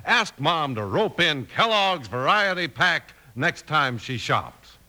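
A man's voice: a little high, sing-song, slow, bright, forceful tone. An American man announcing in upbeat, punchy 1950s radio sales style.

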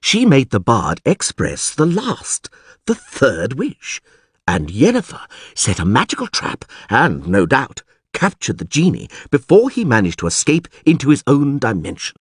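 A man's voice: mid-range voice, huge pitch swings, measured pace, husky, no room sound, clean studio sound, full character voice. A man voicing a sardonic, know-it-all bald male priest who lectures the people around him with scorn. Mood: urgent.

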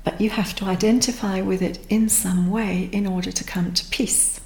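A woman speaking as a therapist, slowly and reflectively guiding a conversation. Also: medium pitch, steady tone, vocal fry, darkish tone, reverberant. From Pakistan.

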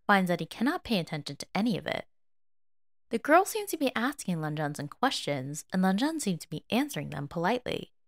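Expressive woman narrator, animated pitch. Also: no echo, clean studio sound. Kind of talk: dramatic story narration.

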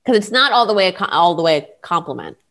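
Woman guest on a video call, animated, lively swinging voice. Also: fairly low pitch. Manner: animated, giggly, quick to riff along. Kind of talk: casual chat over video call.

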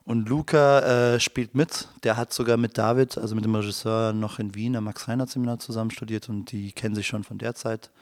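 A man in his thirties talks as a studio podcast guest, explaining at length with many fillers and restarts. His voice is low and flat in pitch. The recording is dry and close. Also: a little fast, few pauses, no echo. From Germany. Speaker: guest, dramaturg and human rights lecturer. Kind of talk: casual interview chat.

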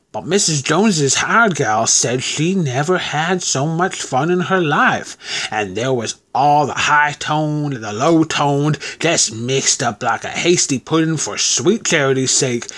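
A high, swooping landlady voice, put on by a male reader for a storybook. She is folksy and coy, gushes with rustic grammar and gets flustered easily. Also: fairly bright tone, full character voice. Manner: rustic ungrammatical speech.

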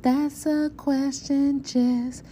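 Very slow, high-voiced woman reciting poetry. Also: little pitch movement, rough recording. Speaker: educator. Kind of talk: poetry reading for small children. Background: soft lullaby music.

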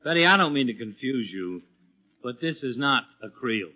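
A man's voice: mid-range voice, animated, moderate pace, lightly acted. A man playing an exasperated, blustery middle-aged suburban father in a 1940s radio comedy, with dry sarcasm. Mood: dry, weary. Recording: muffled vintage 1940s radio broadcast.

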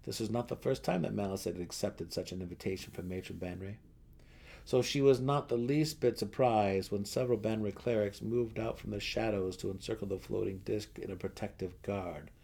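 A man's voice: lowish voice, normal speed, gravelly, mellow, breathy edge. A man narrating a fantasy audiobook dramatically, with theatrical emphasis.

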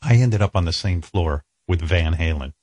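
A man's voice: deep voice, animated, moderate pace, a little mellow, no room sound. An older man hosting an interview, asking rambling, self-deprecating questions. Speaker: talk show host.

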